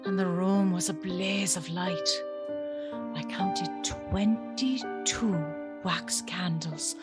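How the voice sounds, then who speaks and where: A woman's voice: lowish voice, slow, loud music behind the voice. A woman playing an anxious, spirited young servant girl who tells her tale expressively in a gothic audio drama. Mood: uneasy storytelling. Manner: expressive, breathy, nervous.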